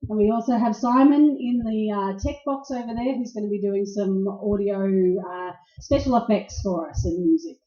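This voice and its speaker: A woman's voice: mid-range voice, normal speed, hardly any pauses, mellow. A woman hosting a live event, giving informal introductions to a group of radio players. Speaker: host introducing a radio play troupe. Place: indoor live venue before an audience. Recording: echoey room, dull lo-fi sound.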